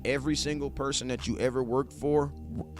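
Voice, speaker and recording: A man's voice: mid-range voice, fairly even intonation, fairly quick, faint music behind. Laid-back slangy man in his forties. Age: 40s. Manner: loose slangy talk, addresses viewers directly, repeats himself for emphasis. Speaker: live streamer, sports fan host. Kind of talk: casual live-stream chat reading viewer comments.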